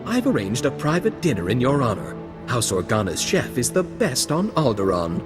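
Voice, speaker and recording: A man's voice: medium pitch, very animated, moderate pace, music in the background, close to an everyday voice. An actor playing a suave, flirtatious male aristocrat in a video game, courtly and a little condescending. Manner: courtly, smooth-talking, affected.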